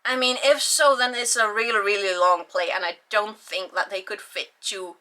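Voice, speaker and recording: A woman's voice: mid-range voice, fairly even intonation, moderate pace, bright, forceful tone. A young woman with an Icelandic accent, a native Icelandic speaker, chatting casually and rambling through her book haul with sighs and ums. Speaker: book vlogger.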